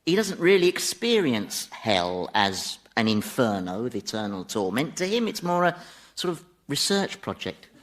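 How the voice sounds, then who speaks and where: An actor playing an exasperated, sardonic Satan in a comedy radio drama, grumbling and swearing in a British accent. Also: male voice, medium pitch, sing-song, normal speed, lightly acted. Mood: dry, sardonic. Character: exasperated, sardonic Satan, weary ruler of hell.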